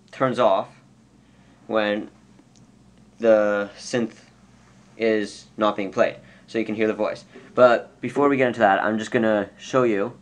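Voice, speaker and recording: A man giving an unscripted, casual software tutorial, explaining step by step and correcting himself as he goes. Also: on the low side. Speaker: tutorial presenter. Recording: clear background noise.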